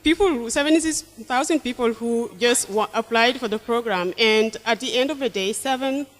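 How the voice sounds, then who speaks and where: A young woman from Senegal, an English teacher, answering interview questions thoughtfully, with restarts and soft laughs. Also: fairly high pitch, moderate pace. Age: young adult.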